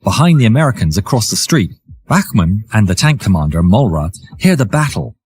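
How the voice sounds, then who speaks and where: A man with a very rough, raspy voice narrates a war story. His pitch sits on the low side and moves around freely as he builds suspense. The delivery is scripted and theatrical, like a dramatic history documentary. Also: big pitch swings, brisk, a little mellow.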